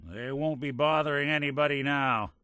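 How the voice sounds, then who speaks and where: An actor playing a world-weary, wisecracking android detective in a video game, hardboiled but kind, with dry old-fashioned gumshoe delivery. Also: male voice, a little high, moderate pace, sharp tone, clean tone, dry recording. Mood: dry amusement. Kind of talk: game character voice lines.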